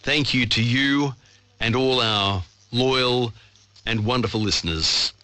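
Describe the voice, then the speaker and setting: A man's voice: mid-range voice, slow pace, bright, forceful tone, no echo. An Australian man presenting live on radio from a studio. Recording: AM radio broadcast picked up online.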